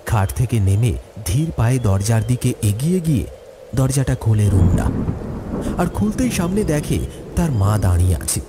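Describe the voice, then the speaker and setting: A man narrates a horror story in a dramatic, scripted style. His mid-pitched voice moves with lively, expressive swings. Clear storm and insect effects play behind him. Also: normal speed, very noisy.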